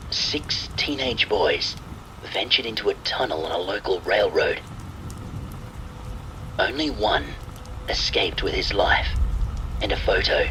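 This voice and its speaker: A man's voice: fairly low pitch, noisy background. A man reading a scripted first-person horror story, with rain and thunder sounds behind his voice. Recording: faint steady rain behind the voice. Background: rain and thunder sound effects.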